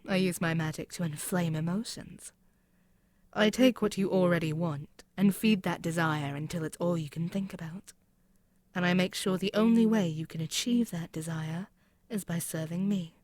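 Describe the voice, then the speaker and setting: An imperious demon queen, acted by a woman in a scripted audio roleplay. Her voice sits fairly low and is recorded close and dry. She is haughty, scheming and exasperated, delivering taunts and threats with theatrical menace. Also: no echo, close to an everyday voice. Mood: gloating. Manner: haughty, taunting, theatrical, dropping at times to near-whisper. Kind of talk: scripted ASMR audio roleplay, dramatic monologue.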